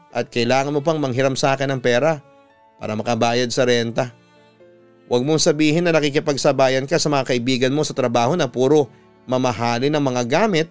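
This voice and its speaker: A man's voice: mid-range voice, clean tone, lightly acted. A Filipino man voicing a concerned young woman who scolds her friend in an exclaiming, reproachful manner, as part of a dramatic story.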